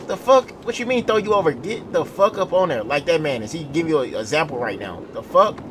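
A man's voice: on the high side, lively intonation, a little fast, noisy background. A young American man talking in profane, slangy game roleplay with a brash, emphatic manner. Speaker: streamer playing a gang member in online roleplay. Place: indoor gaming setup. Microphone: headset.

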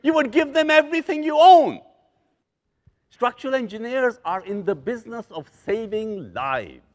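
An older man giving a motivational keynote on stage in a large conference hall, animated and joking with preacher-like emphasis. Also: extremely high pitch, normal speed. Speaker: keynote speaker. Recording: long hall reverb.

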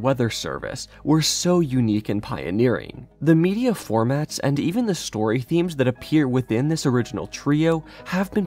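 A man's voice: medium pitch, a little mellow. A man reading scripted documentary narration in an explanatory way. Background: music.

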